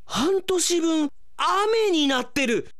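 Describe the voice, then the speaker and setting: A man playing an earnest, excitable, indignant male office worker in a melodramatic radio drama. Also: extremely high pitch, drawn-out words, pitched above the performer's own voice, heavily acted. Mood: shocked disbelief. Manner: melodramatic, exclamatory, desperately self-justifying.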